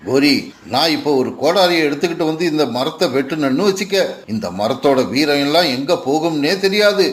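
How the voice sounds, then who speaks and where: A man's voice: on the high side, fairly quick, close to an everyday voice. A man voicing a bullying, scornful male crow in a children's cartoon, with a taunting, bossy manner. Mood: threatening.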